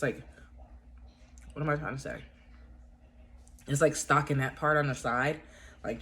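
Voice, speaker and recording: A woman's voice: rumbling low, slight background noise. A woman with a stuffy, congested voice chatting casually from home to her viewers about crochet, rambling with plenty of fillers and restarts. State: congested from a cold. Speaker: yarn crafting vlogger. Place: at home indoors.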